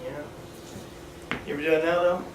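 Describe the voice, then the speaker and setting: A man's voice: on the high side, flat delivery, moderate pace, close to an everyday voice. A young man acting on stage as a dead teenage best friend, nostalgic, casual and earnestly questioning. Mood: nostalgic, probing. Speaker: stage actor. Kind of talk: live stage play acting, conversational dialogue. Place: theatre stage indoors. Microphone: far camera. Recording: echoey, distant, noisy theatre recording.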